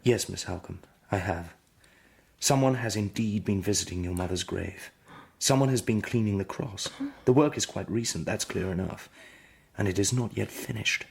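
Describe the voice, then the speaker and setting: A man playing an earnest, courteous young Victorian gentleman with an English accent, in a period radio drama. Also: on the low side, moderate pace, creaky, breathy edge. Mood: determined. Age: young adult. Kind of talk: period drama acting with measured first-person narration.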